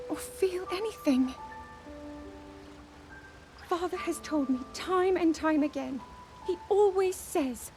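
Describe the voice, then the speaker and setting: A young woman voicing an earnest young princess who is burdened by duty and doubts herself, speaking softly in a game cutscene. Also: extremely high pitch. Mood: anguished. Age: young adult. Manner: soft, pleading, searching. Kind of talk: video game cutscene voice acting.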